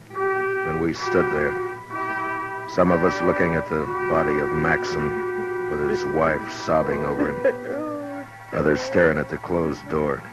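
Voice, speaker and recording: Very deep, grim western lawman. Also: full character voice, low-quality recording. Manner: terse, somber. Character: grim, steady western lawman narrating his ordeal. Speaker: lead actor and narrator. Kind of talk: 1950s western radio drama narration. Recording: old radio broadcast with music.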